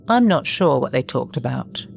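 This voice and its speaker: A woman voicing a contented, naive scavenging monster who narrates a horror audio story in a measured, expressive way. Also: a bass voice, gravelly, slight background noise, full character voice. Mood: curious, puzzled. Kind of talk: scripted first-person horror audio story.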